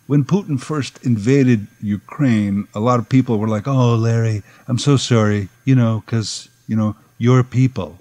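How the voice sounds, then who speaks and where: A man's voice: mid-range voice, a little slow, hardly any pauses, a little mellow, no room sound. A middle-aged man, giving a sardonic, opinionated podcast monologue. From Canada. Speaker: podcast host.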